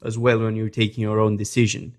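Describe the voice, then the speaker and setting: A man's voice: fairly low pitch, little pitch movement, fast talker. A man interviewing a guest as podcast host, conversational and agreeable, affirming what he hears.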